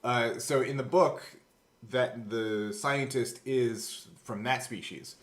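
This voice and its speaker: A man's voice: mid-range voice, steady tone, slow pace, a bit bright. A man co-hosting a casual behind-the-scenes chat, explaining things in a rambling, nerdy way with some profanity. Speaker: podcast co-host and game master.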